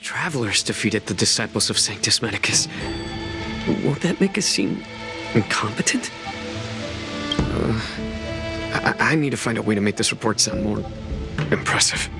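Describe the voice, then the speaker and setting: An actor playing a worried, self-serving soldier in a video game, hesitant and muttering. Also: male voice, on the low side, lively intonation, normal speed, creaky, long pauses, loud music behind the voice. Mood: worried, scheming. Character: fretful, self-serving male military underling.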